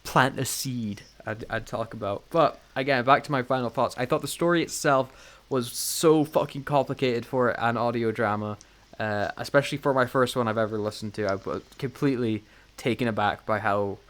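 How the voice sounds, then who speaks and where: A man co-hosting a podcast in casual fan chat, excitable and self-deprecating, with some swearing. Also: mid-range voice. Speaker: podcast co-host.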